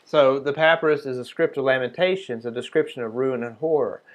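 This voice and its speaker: Male teacher, mid-range voice, deliberate reading, relaxed off-the-cuff explaining. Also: medium pitch. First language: not Hebrew. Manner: reads quotations slowly, then talks loosely with fillers and restarts. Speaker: Sabbath group teacher. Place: small group meeting room.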